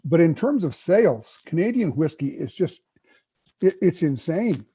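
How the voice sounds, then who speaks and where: A man speaking as a guest whisky expert in a casual interview, emphatic and knowledgeable, often clearing his throat. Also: a little high, sing-song, normal speed, warm tone.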